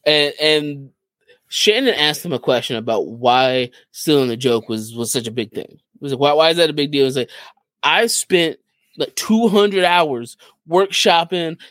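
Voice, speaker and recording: A man's voice: fairly high pitch, fairly bright tone, no room sound. A man co-hosting a podcast, casually retelling stories and acting out what people said. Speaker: podcast co-host.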